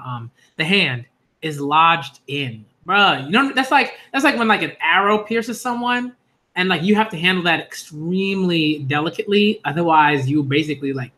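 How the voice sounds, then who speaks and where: A man hosting a relaxed live manga reaction stream, his voice sitting a little high and coming out bright and forceful. He rambles loosely, leaning on fillers and "y'all." He gets excitable fast when the story grabs him. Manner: excitable, rising into shouts at story moments. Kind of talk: casual live-stream chat and reading reactions.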